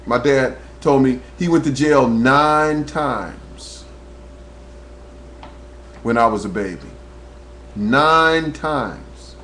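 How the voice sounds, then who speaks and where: A man from New Jersey talks straight into his webcam with the earnest, preacher-like drive of a talk radio host. His voice sits at a middle pitch and climbs as he warms to his own story. A faint electrical hum runs underneath the recording. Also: very slow, long pauses. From New Jersey, USA. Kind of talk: webcam monologue. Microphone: webcam microphone.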